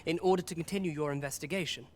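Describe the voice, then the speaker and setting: An actor playing a courteous, earnest young prince in a fantasy video game, with tentative manner and old-fashioned formal phrasing. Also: male voice, fairly high pitch, rapid-fire, lightly acted. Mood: earnest, modest.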